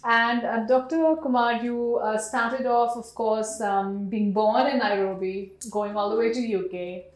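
A woman's voice: fairly high pitch, steady tone, a little slow, few pauses. A woman hosting an interview show, chatty and effusive, with many fillers. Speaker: show host. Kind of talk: interview conversation. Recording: roomy room sound.

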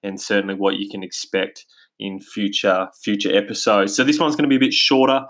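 A man's voice: lowish voice, fairly even intonation, brisk, fairly bright tone. A middle-aged Australian man hosting his own podcast in a casual, eager monologue, with plenty of fillers and restarts, on basic home recording gear. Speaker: podcast host. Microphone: home podcast mic, basic quality.

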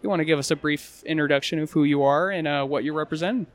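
Male podcast host, relaxed interviewer. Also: fairly high pitch, quick pace. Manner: relaxed, loose questions with fillers. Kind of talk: casual interview.

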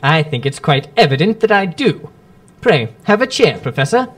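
A radio-drama gentleman sleuth, urbane and imperturbable. His dry wit comes through in very lively, varied intonation, with small murmured hesitations between polished phrases. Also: a little high, moderate pace. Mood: coolly defiant. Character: shrewd, imperturbable male gentleman detective, drily witty.

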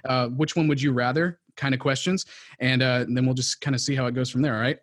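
A man's voice: medium pitch, steady tone, fairly quick. A man from New England hosting a podcast, giving upbeat introductions and asking icebreaker questions. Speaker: podcast host.

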